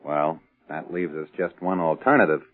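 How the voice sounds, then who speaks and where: Deep male schemer, cool, guarded, measured, 1940s radio crime drama, tinny old recording. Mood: calculating. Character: cool, calculating brother of a condemned convict.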